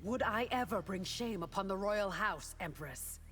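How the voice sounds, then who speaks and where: An actress playing a devoted, respectful royal bodyguard in a video game, formal and earnest. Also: female voice, mid-range voice, animated, moderate pace, lightly acted. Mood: earnest, defensive. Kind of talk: video game character dialogue. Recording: loud music over the dialogue.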